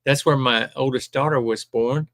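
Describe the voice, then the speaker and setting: A man's voice: mid-range voice, steady tone, moderate pace, fairly bright tone, no room sound, studio quality. An older retired man from Alabama with a Southern accent, hosting a live stream and chatting casually as he reads viewer comments aloud with plenty of ums.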